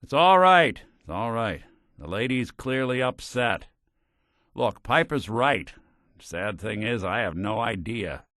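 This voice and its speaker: An actor playing a weary, wry but kind synth private detective in a video game, with an American accent and a folksy old-time gumshoe delivery. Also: male voice, medium pitch, sing-song, takes it slowly, a bit bright, no room sound, clean studio sound. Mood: sympathetic. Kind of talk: noir detective game dialogue.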